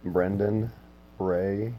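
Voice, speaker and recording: Hoarse, easygoing male streamer. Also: lowish voice, steady tone, very slow, warm tone, slight background noise. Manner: easygoing, chats with viewers, many filler words. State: hoarse, lost voice. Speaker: game streamer. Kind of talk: casual livestream chat with viewers.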